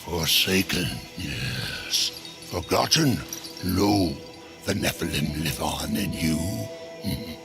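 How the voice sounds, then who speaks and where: Slow, deep, sly demon merchant. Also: male voice, expressive, vocal fry, over music, heavily acted. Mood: cryptic, knowing. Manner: slow, theatrical, cryptic, falsely humble. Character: sly, world-weary otherworldly demon merchant, last of burned people. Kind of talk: video game cutscene acting.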